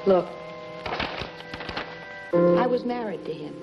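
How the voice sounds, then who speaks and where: A woman's voice: fairly low pitch, lively intonation, takes it slowly, vocal fry, heavily acted. A dull vintage film track with music behind it carries a brassy gangster's moll. She is sardonic and blunt, needling in hard-boiled 1950s crime drama style. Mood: sardonic, coaxing.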